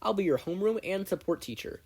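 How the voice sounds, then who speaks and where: A performer voicing a brisk, projecting hero teacher. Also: mid-range voice, animated, darkish tone, slightly rough, pitched above the performer's own voice. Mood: businesslike. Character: businesslike adult male hero teacher addressing class.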